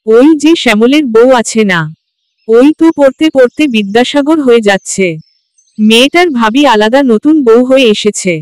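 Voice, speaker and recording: Woman voicing a resentful, fairly high-voiced village gossip. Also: clear, resonant tone, dry recording, close to an everyday voice, low-quality recording. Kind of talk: melodramatic cartoon dialogue.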